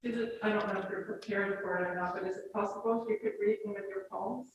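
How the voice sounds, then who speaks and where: Fast-talking woman, very echoey room. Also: medium pitch, fairly even intonation. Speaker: audience member asking a question. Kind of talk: polite casual question. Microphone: picked up from across the room. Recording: distant, very echoey, noisy room.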